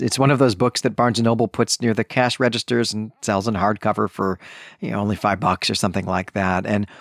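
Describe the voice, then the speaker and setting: A man's voice: mid-range voice, dry recording, clean studio sound. A man hosting a solo book club podcast, talking conversationally with self-correcting asides. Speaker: podcast host.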